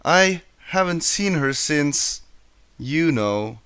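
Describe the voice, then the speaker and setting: A man voicing a tired, bookish young female unicorn in a storybook reading, explaining things gently and wearily. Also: fairly high pitch, expressive, slow pace, clear, resonant tone, no echo, studio quality, voice pushed up. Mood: sad, concerned.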